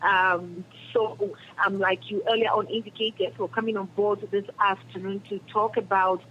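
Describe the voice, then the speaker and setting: A woman's voice: mid-range voice, flat delivery, normal speed. A woman radio reporter with a Cameroonian accent, introducing an interview in a hesitant, repeating way. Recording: muffled noisy remote phone-in line.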